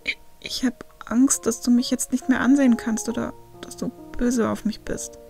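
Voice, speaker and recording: A woman voicing a frightened, guilt-ridden young male werewolf in a story, halting and pleading. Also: mid-range voice, level tone, natural voice. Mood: timid, whispering. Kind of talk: acted audiobook dialogue. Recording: faint music behind the voice.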